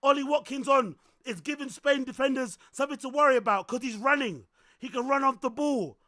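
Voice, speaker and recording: A man reacting live to a football match, excitable and yelling and swearing at the screen. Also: very high-pitched, a little fast. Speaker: streamer host.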